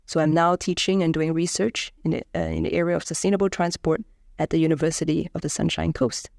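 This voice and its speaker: A woman, explaining her research in a measured way with frequent fillers and restarts. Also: low voice, level tone, gravelly, a little mellow, dry recording, studio quality. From Singapore. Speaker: engineering researcher. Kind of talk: explanatory interview talk.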